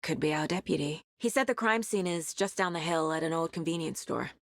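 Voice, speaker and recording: A woman playing a determined, sharp investigator in a horror video game, measured and introspective, often as if talking to herself. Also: deep voice, dry recording, clean studio sound. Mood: focused, curious. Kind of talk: cinematic video game acting, naturalistic.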